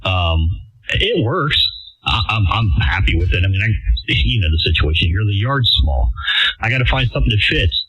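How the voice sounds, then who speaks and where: A man's voice: on the low side, sing-song, moderate pace, a bit bright, dull audio, slight background noise. A man in a casual, rambling, self-deprecating chat as an amateur radio operator, heard over a band-limited radio transmission.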